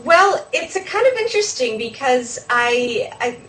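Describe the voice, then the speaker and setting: A woman's voice: high-pitched, very animated, a little slow, a bit bright, rough recording. A woman talking casually as an interview guest, chatty and conversational, thinking aloud as she goes. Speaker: interview guest, video podcast host.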